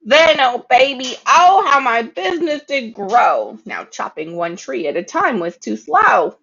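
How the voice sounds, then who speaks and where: A reader giving an expressive, dramatic read-aloud of a rhyming story, now and then stumbling over words. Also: mid-range voice, measured pace, creaky, husky, lo-fi sound.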